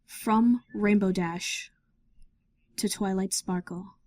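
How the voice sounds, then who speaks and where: A woman narrates a dramatic story. Her pitch is medium and her delivery is very slow and measured. The recording is dry, with no room sound. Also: fairly even intonation, darkish tone, no echo.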